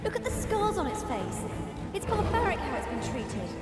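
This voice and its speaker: Very high-voiced young witch. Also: big pitch swings, normal speed. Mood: alarmed. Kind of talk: video game voice acting. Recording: echoey hall, loud music.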